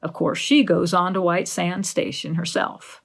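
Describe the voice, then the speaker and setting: Lady doing a stern middle-aged farm woman, plain and resigned, creaky voice, storybook character. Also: lowish voice, level tone. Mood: matter-of-fact. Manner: measured, matter-of-fact.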